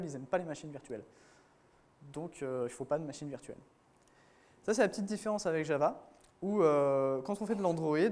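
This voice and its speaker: A man's voice: a little high, darkish tone. A man giving a casual technical talk to a room of developers at a conference, with many fillers and restarts. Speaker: conference speaker.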